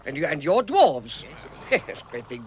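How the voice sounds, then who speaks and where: A fussy little hobbit in a vintage radio play. His high voice swoops wildly as he stammers and repeats himself, indignant yet timid, over a noisy old recording. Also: big pitch swings, measured pace. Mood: indignant, flustered. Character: fussy, flustered male hobbit, indignant but timid adventurer. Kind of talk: 1960s radio drama acting. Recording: vintage radio recording, loud background noise.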